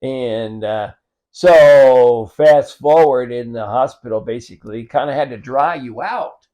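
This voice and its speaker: A man's voice: medium pitch, a little slow, warm tone. A man hosting a casual conversation, steering the talk, summarizing and using lots of fillers. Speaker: host.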